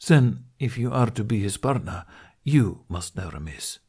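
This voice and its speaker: A man's voice: lowish voice, expressive, unhurried, a little mellow, breathy edge, heavily acted. Man acting out older male mentor, Hungarian warrior, stern, earnest, solemn, emphatic, formal wording, audiobook character.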